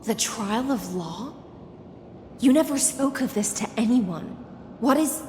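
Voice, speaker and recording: A woman's voice: a little high, expressive, slow, a little mellow, slight background noise. An actress playing a loyal female knight commander in a video game, grave and reproachful, speaking with restrained accusation. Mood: disbelieving. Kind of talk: video game drama dialogue. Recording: echoey reverberant hall, music behind.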